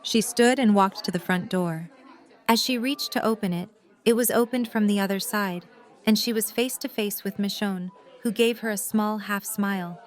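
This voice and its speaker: A woman's voice: mid-range voice, moderate pace. A woman reading scripted third-person story narration for an audio drama.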